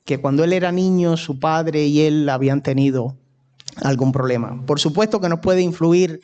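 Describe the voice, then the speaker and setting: A man from Cuba with a Cuban accent, preaching a sermon in an unhurried, conversational way and turning to his listeners with asides. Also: fairly high pitch, darkish tone, muffled recording. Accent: Cuban Spanish.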